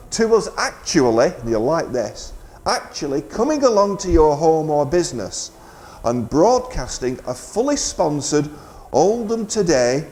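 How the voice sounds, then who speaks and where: A man's voice: a little high, darkish tone. A man presenting live community radio, making a fundraising announcement in an informal, hesitant, bantering way.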